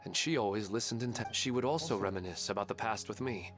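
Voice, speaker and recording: A man's voice: lowish voice, lively intonation, fast talker, a bit bright. A man playing a sincere, dreamy husband and father in a video game, with an earnest, wistful manner. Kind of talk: English video game voice acting. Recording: faint game music behind.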